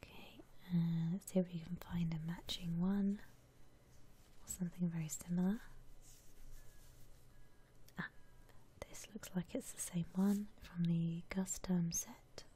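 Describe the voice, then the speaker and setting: A woman whispering softly and breathily in a gentle, musing way as she gives ASMR commentary on a game. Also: on the low side, steady tone, a little hiss or hum. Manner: gentle, unhurried, musing aloud. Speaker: ASMR streamer playing a house-decorating game.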